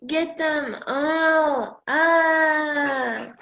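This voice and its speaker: Young woman as very slow, high-voiced commander. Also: pushed and bright, heavily acted. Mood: dismayed. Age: late teens. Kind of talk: radio play acting. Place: home room on a video call.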